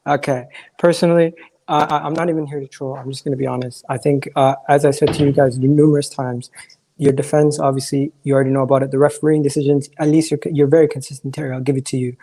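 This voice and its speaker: A hoarse man calling in to a fan livestream, talking candidly and rambling, with many restarts and a throat that keeps giving out. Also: mid-range voice, flat delivery, fast talker, mellow, rough recording. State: hoarse, coughing. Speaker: caller. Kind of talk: casual chat on a fan livestream.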